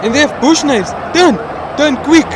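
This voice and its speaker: Panicky guy in radio drama, high voice, pleading, traffic noise behind. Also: lively intonation, moderate pace, a crowd in the background. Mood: alarmed. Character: anxious male friend of shop owner, uneasy conscience. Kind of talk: audio drama acting and narration. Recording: noisy street sound effects behind.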